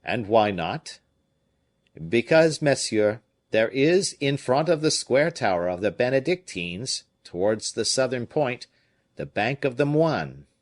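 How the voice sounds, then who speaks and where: A man voicing an excitable Provençal fisherman with a Provençal accent, telling his tale loudly and emphatically. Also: mid-range voice, unhurried, pitched above the performer's own voice, lightly acted. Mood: agitated. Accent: Provençal French, as acted. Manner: loud, emphatic, indignant storytelling.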